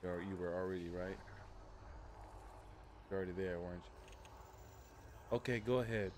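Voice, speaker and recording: A man's voice: low-pitched, normal speed. A man streaming a video game, casually chatting and giving short voice commands. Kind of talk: casual live gaming commentary and voice commands. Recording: steady background noise.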